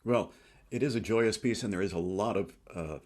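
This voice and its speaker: A man hosting an interview, asking questions in a measured, courteous way with occasional fillers. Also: lowish voice, a little mellow. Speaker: interviewer, host.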